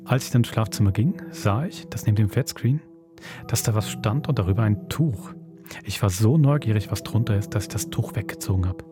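A man with a fairly low voice hosts a horror podcast. He reads and narrates in a measured, suspenseful manner, with music clearly playing underneath. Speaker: podcast host and producer. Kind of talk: scripted horror podcast intro and storytelling.

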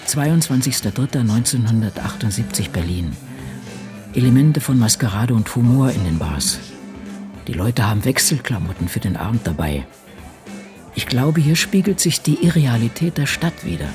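Casting: male actor for brooding, anxious diarist in radio drama, very animated delivery dropping toward intimate hush, over music. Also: mid-range voice, normal speed, natural voice. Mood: reflective. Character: aging woman crime novelist reading her diaries, brooding, anxious.

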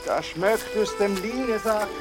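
A man's voice: high-pitched, a little slow, music in the background. A man with a Swiss German accent playing a greedy young monastery pupil who teaches in a savouring, schoolmasterly way in a children's audio drama. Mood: smug, demanding. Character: greedy, smug young male monastery pupil giving lessons.

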